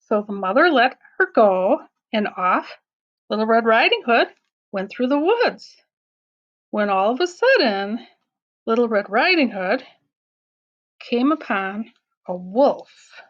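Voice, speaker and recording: A woman's voice: a little high, very animated, takes it slowly, pauses often, dull audio. A woman telling a children's story aloud, as a storyteller for young schoolchildren.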